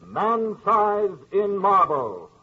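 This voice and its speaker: A dull, lo-fi vintage radio recording. A male host with a high-pitched voice announces a horror series in a slow, portentous way. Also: sing-song. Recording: dull, lo-fi old radio broadcast.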